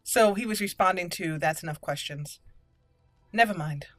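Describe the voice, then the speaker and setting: A woman's voice: fairly low pitch, moderate pace, no room sound, faint music behind. A woman hosting a roleplay let's play, switching between casual commentary and reading character dialogue aloud.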